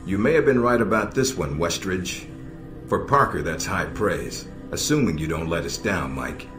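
An actor playing a stern, middle-aged American intelligence operations chief in a video game, measured and dryly sardonic. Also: male voice, low-pitched, animated. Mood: probing, serious. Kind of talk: video game cutscene dialogue. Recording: echoey, music underneath.

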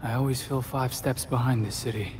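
A man's voice: medium pitch, fairly even intonation, a little fast, background noise, close to an everyday voice. An actor playing an earnest young male vigilante hero, self-doubting but dogged, in a video game cutscene. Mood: subdued, self-doubting.

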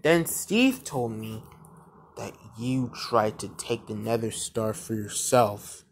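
A man voicing a stern, accusing Minecraft warrior leader in a slow, grave, deliberate manner for an amateur animation fandub. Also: on the low side, expressive, slight background noise. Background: music.

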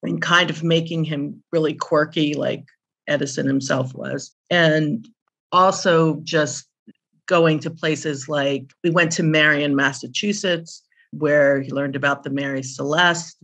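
A woman's voice: deep voice, steady tone, a little slow, a bit bright, slightly rough. A middle-aged or older woman speaking as an interview guest, reminiscing in an anecdotal, conversational way. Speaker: interview guest, author and publisher.

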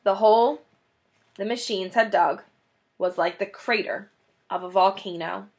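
A woman in her thirties reading a children's book aloud in an animated, dramatic way. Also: mid-range voice, a little slow. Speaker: children's story reader. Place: small indoor room, classroom-like decor.

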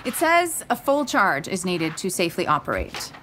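A woman's voice: on the low side, big pitch swings, normal speed, background noise. A woman playing an anxious, protective middle-aged mother with a dryly sarcastic, exclamatory manner in an audio drama. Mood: wry, doubtful. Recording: loud music under the voice.

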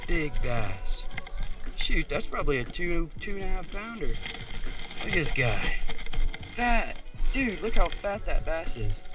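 A young man talks fast and eagerly as he reels in fish outdoors, his voice picked up a little distantly by a body-worn camera. Loud music plays underneath him the whole time. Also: on the high side. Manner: excitable, keeps narrating catches, says "y'all" and "dude". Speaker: fishing channel host. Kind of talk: excited casual play-by-play while fishing. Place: wooded creek bank outdoors. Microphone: head- or chest-mounted action camera.

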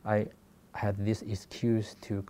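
A man in his forties from Taiwan with a Taiwanese accent, giving a halting talk about his work with many fillers and restarts. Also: on the low side, level tone, mellow, a little hiss or hum. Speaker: guest photographer. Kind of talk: presentation talk.

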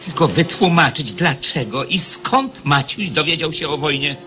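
A man's voice: on the high side, animated, moderate pace, music in the background, natural voice. An actor playing a haughty, impatient enemy king in a radio play, mocking and imperious. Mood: angry, demanding.

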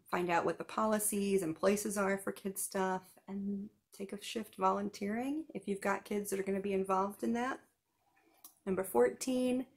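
A woman in her thirties giving numbered tips in a casual chat to camera, seated on a couch at home, with small laughs and asides. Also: mid-range voice. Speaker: vlogger giving festival tips.

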